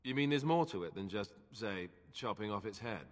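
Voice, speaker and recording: An uneasy, frank warrior played by a man talks with a wry edge in a fantasy game scene. His voice sits fairly low and rings with long reverb. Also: expressive, normal speed, close to an everyday voice. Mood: uneasy, questioning. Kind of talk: dramatic fantasy game dialogue. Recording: long reverb of a large chamber.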